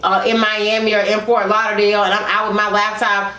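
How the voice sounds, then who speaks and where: A woman's voice: mid-range voice, fairly quick. A woman in her thirties co-hosting a casual conversation, speaking animatedly and agreeing often, seated at a table indoors. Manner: animated, emphatic agreeing, frequent "right". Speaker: co-host. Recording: roomy echo.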